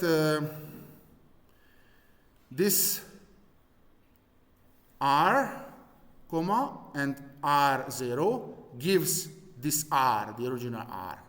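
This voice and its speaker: Slow Hungarian-accented lecturer in echoey hall. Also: male voice, on the high side, a bit bright. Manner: halting, many fillers and restarts, dry jokes. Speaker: university physics lecturer. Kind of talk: live classroom lecture.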